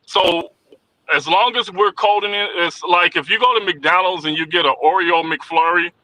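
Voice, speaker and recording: A man's voice: high-pitched, normal speed, sharp tone, low-quality recording. A man who owns a popcorn shop, chatting as a guest, telling stories animatedly with restarts and repeated words. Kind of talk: casual interview chat.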